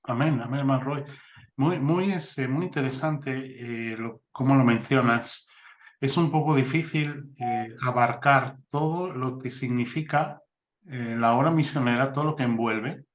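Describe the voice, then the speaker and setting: A man's voice: medium pitch, unhurried, reverberant. A man hosting an interview, affirming his guest and speaking with many fillers and restarts. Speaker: interviewer, mission ministry host. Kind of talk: conversational interview. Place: Spain.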